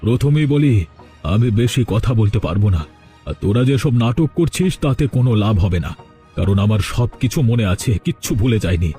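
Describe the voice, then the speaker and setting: A man's voice: mid-range voice, animated, fairly quick, warm tone, soft background music, natural voice. A man voicing a resentful, threatening young village man in a cartoon melodrama, with dramatic delivery. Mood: cold anger. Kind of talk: cartoon voice acting.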